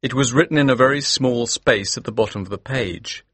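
An actor playing an earnest, determined gentleman who tells a Victorian mystery in the first person, with a husky voice. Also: mid-range voice, a little fast, no echo. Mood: measured narration. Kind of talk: scripted first-person audiobook narration.

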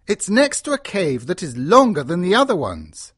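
An excitable adventurer lord, played by a male narrator, lifts his pitch and swings it widely as he declares things. The delivery is slow and emphatic, in storybook style. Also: slightly rough, no echo, clean studio sound, heavily acted. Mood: excited, reasoning. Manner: emphatic, exclamatory. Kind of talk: audiobook character voice.

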